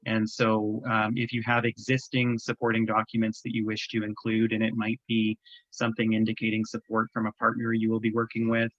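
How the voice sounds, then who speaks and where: Flat-voiced Canadian man explaining a form. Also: fairly low pitch, no room sound, muffled recording. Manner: measured, explanatory, frequent ums. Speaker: government program presenter. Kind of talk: webinar walkthrough. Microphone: video call.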